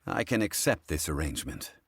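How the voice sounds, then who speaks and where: Game consultant: calm, learned man, low and expressive, formal diction. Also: gravelly, soft background music, exaggerated voice. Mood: measured, formal. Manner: formal, measured, eloquent. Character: calm, dignified, scholarly male consultant on an expedition. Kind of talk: video game voice acting.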